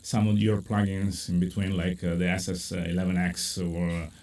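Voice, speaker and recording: A man with an Italian accent hosting a casual live chat over a video call, talking in lively bursts with many fillers. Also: normal speed. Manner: animated, many fillers, pitch jumps when excited. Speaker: host.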